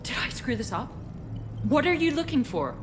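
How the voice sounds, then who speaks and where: An actress playing an eager, anxious junior military officer in a video game, earnest and apologetic. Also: female voice, high voice, very animated, normal speed, noisy background. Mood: alarmed. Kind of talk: cinematic video game dialogue.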